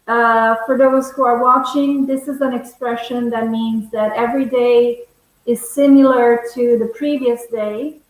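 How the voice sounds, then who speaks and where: A young woman, bilingual in English and Italian, teaches over a video call in a strongly echoing room. Her voice sits on the high side and she takes her time, explaining things in a measured, conversational way. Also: fairly even intonation. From Italy. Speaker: English teacher, webinar host. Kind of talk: conversational online lesson. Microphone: earphone headset on video call.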